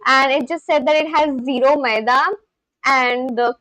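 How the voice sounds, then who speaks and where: A woman's voice: high voice, fairly even intonation, moderate pace, pushed and bright, no echo. A woman in her twenties with an Indian English accent, chatting casually on a live stream and reading viewer comments aloud. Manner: chatty, reads viewer comments aloud, frequent likes and laughs.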